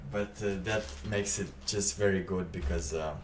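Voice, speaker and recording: A man live streaming, chatting casually in a halting, rambling way with lots of fillers. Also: deep voice, fairly even intonation, a little hiss or hum. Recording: roomy, distant mic with faint ambience.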